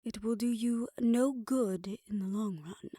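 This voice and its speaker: Fantasy audio drama: actress as reformed yandere lady doctor. Soft, relaxed tone, formal phrasing, teasing yet caring, very dry sound. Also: fairly high pitch, a little slow, no room sound. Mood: concerned, probing. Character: reformed obsessive female doctor, teasing, probing, quietly caring. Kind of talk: fantasy audio roleplay acting.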